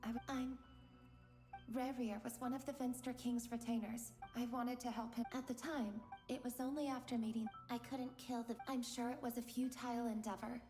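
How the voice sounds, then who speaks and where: Woman as a gentle, remorseful young scholar, echoing. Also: fairly high pitch, flat delivery, moderate pace, faint music behind, close to an everyday voice. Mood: earnest, sorrowful. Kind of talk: video game voice acting.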